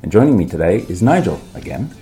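A deep, mellow-toned man hosting a podcast, his voice roughened and gravelly from a cold. He chats easily with his co-host, laughs often and slips in small self-mocking jokes. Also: very animated, fast talker, soft background music. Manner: chatty, laughs often, light self-mockery. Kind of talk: casual chat.

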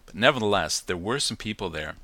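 A man's voice: on the low side, animated, a little fast, pushed and bright, dry recording. A man hosting a casual solo podcast from New Zealand, chatty and reflective, with many ums and restarts. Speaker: podcast host.

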